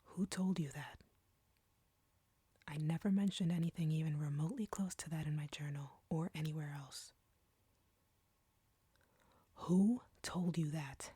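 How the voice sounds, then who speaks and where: A woman playing an arrogant, flirty, menacing vampire in an intimate audio roleplay, with a breathy whisper and a taunting, deliberate delivery. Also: low voice, steady tone, dark tone, dry recording, full character voice. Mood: angry, suspicious.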